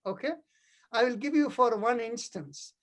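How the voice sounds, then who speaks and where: A middle-aged man with an Indian accent, a native Tamil speaker, gives a spiritual lesson. He explains ideas step by step in a didactic way, often pausing to check that he is understood. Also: very high-pitched, a bit bright. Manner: didactic, checks understanding with short tag questions. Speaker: yoga philosophy teacher.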